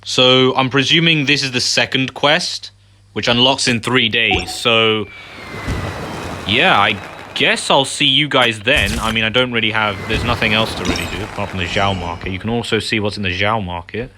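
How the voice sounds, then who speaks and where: A man's voice: lowish voice, moderate pace, noisy background. A man giving casual, offhand playthrough commentary as host of a gaming video. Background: game music.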